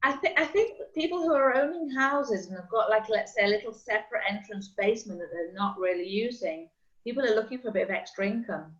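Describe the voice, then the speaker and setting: A middle-aged woman working as a real estate agent, chatting casually and explaining things with quick examples over a call. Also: on the high side, a bit bright. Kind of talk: casual video call chat. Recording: slightly dull lo-fi call audio.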